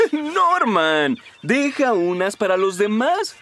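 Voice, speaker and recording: A man's voice: sits high, sing-song, slow pace, fairly bright tone, a little hiss or hum, exaggerated voice. A man voicing a friendly, eager young firefighter in a Latin American Spanish cartoon dub. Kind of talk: animated children's cartoon dub. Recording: studio dub with music underneath.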